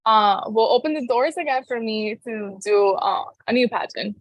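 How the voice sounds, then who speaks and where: A young woman from Cuba, a pageant contestant answering interview questions in a giggly, halting way with many fillers. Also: fairly high pitch, no echo, poor audio. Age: young adult.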